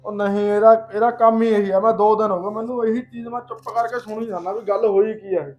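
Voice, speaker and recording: A man's voice: high-pitched, fairly even intonation, fast talker, darkish tone, roomy sound, dull audio, faint music behind, natural voice. A man playing a stern, protective elder brother and head of household in a family drama, insistent in manner. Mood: angry, reproachful. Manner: insistent, reproachful. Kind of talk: Punjabi domestic drama dialogue.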